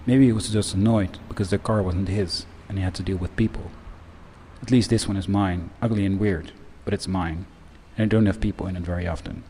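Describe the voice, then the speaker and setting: A man delivering a scripted first-person monologue in a measured, reflective way. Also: low-pitched, darkish tone, a little hiss or hum, rough recording. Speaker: narrator.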